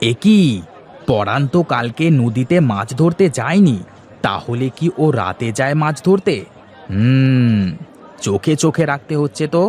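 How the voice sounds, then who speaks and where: A man's voice: mid-range voice, animated, measured pace, a little mellow, lightly acted. A man playing a greedy, envious village neighbour in a fairy-tale cartoon, muttering schemes to himself. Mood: suspicious. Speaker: cartoon voice actor.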